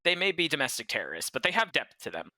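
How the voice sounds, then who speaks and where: A man's voice: on the high side, very animated, fast, over music. A man co-hosting a podcast, giving a casual recap and chatting. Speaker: podcast co-host.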